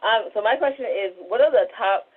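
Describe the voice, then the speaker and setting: Husky woman on a phone-like line. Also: mid-range voice, brisk. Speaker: podcast host asking questions. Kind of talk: casual chat. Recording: thin, phone-like call audio.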